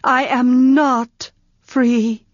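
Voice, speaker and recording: A woman's voice: high-pitched, flat delivery, drawn-out words, no echo, voice pushed up, full character voice. A woman voicing an anguished young woman, proud and guilt-ridden, who talks in a tense, pleading way that breaks with grief. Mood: defiant. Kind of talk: audiobook character reading. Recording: dull, muffled sound.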